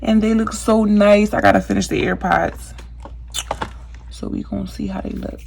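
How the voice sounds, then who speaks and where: A woman's voice: medium pitch, steady tone, moderate pace, gravelly, a little mellow, a little hiss or hum. A young woman chatting casually through a craft how-to vlog, talking to her viewers with lots of fillers. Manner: chatty, many fillers, addresses viewers as "you guys". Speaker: craft vlogger.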